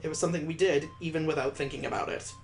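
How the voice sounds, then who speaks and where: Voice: mid-range voice, fairly even intonation, very fast, soft background music. A person narrating a visual novel aloud for a let's play, with casual, joking asides. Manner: casual asides and joking remarks between read passages.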